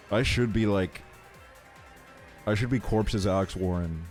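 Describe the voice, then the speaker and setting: A man from Estonia livestreaming a video game, chatting casually in slangy, sweary talk. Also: on the low side. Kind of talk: casual chat while streaming a video game.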